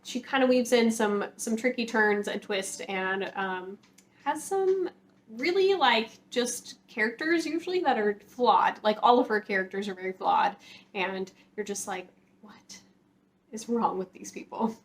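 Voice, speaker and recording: A woman's voice: a little high, measured pace, slight background noise. A woman hosting a casual, chatty book vlog, talking informally to viewers with rambling, hedging asides. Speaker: book vlogger, channel host.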